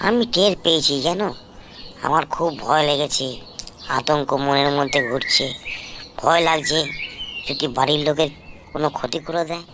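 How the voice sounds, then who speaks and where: A man's voice: fairly high pitch, moderate pace, long pauses, lightly acted. Man playing anxious young villager over noisy birdsong. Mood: worried, pleading. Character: Ramesh, worried younger village man pleading for help. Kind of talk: cartoon ghost story dialogue. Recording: clear background noise with chirping birds.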